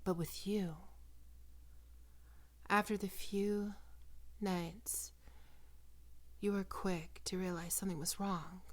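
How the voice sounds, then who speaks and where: A woman voicing a possessive, predatory vampire doctor in an ASMR roleplay, breathy and often whispering, coaxing her prey with condescending, sensual words. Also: mid-range voice, steady tone, slow pace, long pauses, close to an everyday voice. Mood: fond, sinister. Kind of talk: ASMR roleplay, intimate and slow.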